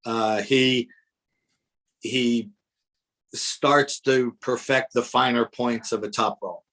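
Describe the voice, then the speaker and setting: A man's voice: medium pitch, steady tone, takes it slowly, fairly bright tone. Opinionated male co-commentator. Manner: opinionated, conversational. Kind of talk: live sports commentary.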